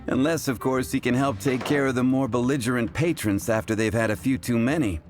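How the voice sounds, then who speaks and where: A man playing a chatty, easygoing tavern bartender in a video game, telling things in a relaxed, wry way. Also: medium pitch, moderate pace, soft background music, natural voice. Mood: wry, amused. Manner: relaxed, wry, conversational storytelling. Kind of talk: video game character acting.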